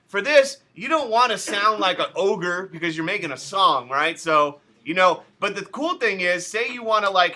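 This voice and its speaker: A man's voice: high-pitched, sharp tone. A male teacher walking students through a recording program step by step, chatty and casual with loose asides. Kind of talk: casual classroom software tutorial.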